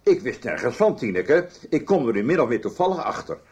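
An actor playing a stern, controlling male boss in a radio soap, questioning people in a measured, reproachful, authoritative way. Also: mid-range voice, sing-song, a little mellow, dull audio. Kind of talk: 1990s Dutch radio soap acting.

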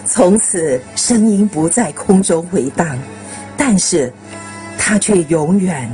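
A woman reading scripted radio narration in a measured, solemn way. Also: fairly low pitch, lively intonation, mellow, faint music behind. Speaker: radio host.

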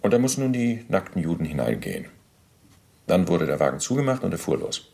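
An actor in a radio play voicing a middle-aged Nazi bureaucrat under interrogation, formal, halting and self-justifying, with deliberate pauses. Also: male voice, low voice, sing-song, gravelly, darkish tone, full character voice. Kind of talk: German radio play, testimony monologue.

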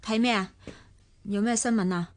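A woman's voice: medium pitch, steady tone, normal speed, a little hiss or hum, lightly acted. A woman acting a cheeky, mocking teenage girl in a radio drama, with a pert, teasing manner and a Hong Kong accent. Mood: brash, nosy.

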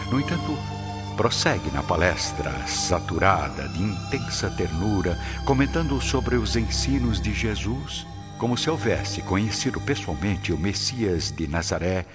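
Very deep Brazilian male radio-drama narrator. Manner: solemn, literary, measured phrasing. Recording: dull lo-fi audio under loud music.

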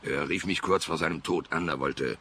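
An actor in a radio play playing a self-important, attention-hungry politician with a gravelly bass voice and a stammering, chuckling manner. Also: male voice, moderate pace, fairly bright tone, slight background noise. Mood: evasive. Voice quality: very deep, gravelly. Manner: chuckling, stammering, theatrical sighing.

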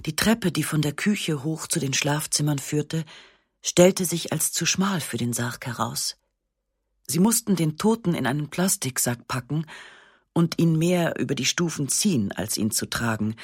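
A woman narrating a literary text in the first person, with a measured, restrained and sober delivery on a close studio microphone. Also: low-pitched, breathy edge, no room sound. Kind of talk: literary reading of a memoir-like novel.

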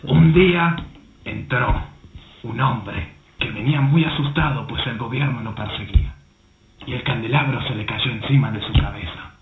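A man's voice: medium pitch, normal speed, soft background music, close to an everyday voice. A man acting a paranoid, earnest conspiracy believer at a writing workshop in an amateur radio play. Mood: solemn storytelling. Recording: tinny, roomy recording with faint hum.

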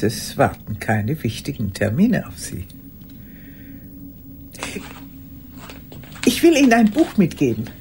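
Elderly female teacher, radio drama, formal confiding manner, very varied melody, lowish-normal voice, light noise. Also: a little mellow, full character voice. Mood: polite, insistent. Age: older. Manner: formal, deliberate, addressing a silent listener. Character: solitary old retired schoolteacher, formal, confessional, female. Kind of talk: radio play monologue.

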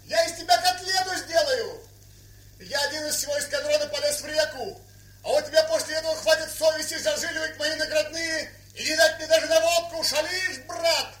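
An actor playing a hot-headed young soldier, aggrieved and blustering, bellowing indignantly in a theatrical drama style. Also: male voice, very high voice, brisk, a bit bright. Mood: enraged. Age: young adult. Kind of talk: theatrical stage drama acting. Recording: roomy 1970s radio-play recording.

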